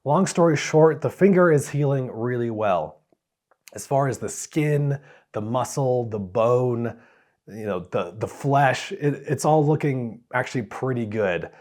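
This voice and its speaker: Hoarse man giving a quick update. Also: mid-range voice. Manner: relaxed, plainspoken, a few fillers. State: hoarse, recovering from a cold. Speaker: travel vlogger. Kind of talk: casual update to camera.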